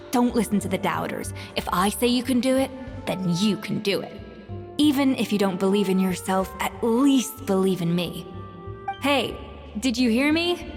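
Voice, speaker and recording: A woman playing a proud young master chef in a video game, stern but fair, brisk and commanding. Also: mid-range voice, expressive, a little mellow, faint music behind. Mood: firm, encouraging.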